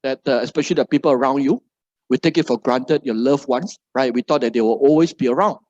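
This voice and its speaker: A man's voice: mid-range voice, fast, darkish tone, dry recording, highs cut off. A middle-aged man from Malaysia with a Malaysian English accent gives a seminar talk to a live audience, ending nearly every phrase with "right?". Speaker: motivational speaker.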